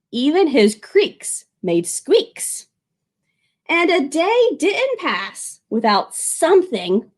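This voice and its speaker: A woman speaking as a children's librarian at storytime, expressive and addressing young listeners directly. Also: high voice. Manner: animated, expressive, with exclamations and questions to young listeners. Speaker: children's librarian reading aloud. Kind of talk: storytime picture-book reading and friendly address to children.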